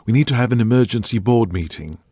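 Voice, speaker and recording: A man's voice: medium pitch, expressive, quick pace, mellow, no echo, voice pushed up, close to an everyday voice. A man voicing a prim, businesslike British executive in an audio drama. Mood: formal, composed.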